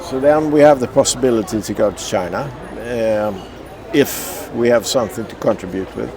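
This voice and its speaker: A man from Sweden lays out his answers step by step in a measured way. He speaks at an average pitch, outdoors on a city street, with a loud crowd around him. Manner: measured, orderly explanations. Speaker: interviewee. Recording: busy street crowd behind.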